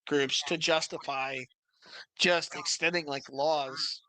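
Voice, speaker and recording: A man's voice: fairly high pitch, fairly even intonation, slow pace, pushed and bright, poor audio. A man in a casual debate, talking insistently, repeating his phrases and cutting in.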